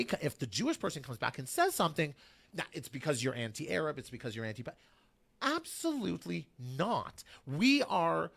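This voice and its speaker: A man's voice: a little high, creaky. Guy on a radio talk show, fired up, voice jumps all over, keeps repeating himself to hit his point. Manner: passionate, emphatic, repeats phrases for stress. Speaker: guest.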